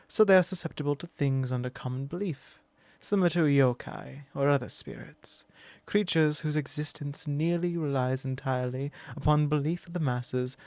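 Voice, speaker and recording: A man's voice: mid-range voice, gravelly, a little mellow, no room sound, lightly acted. A man voicing an arrogant, possessive immortal vampire in an ASMR roleplay, talking down in a drawl and sometimes whispering close to the mic.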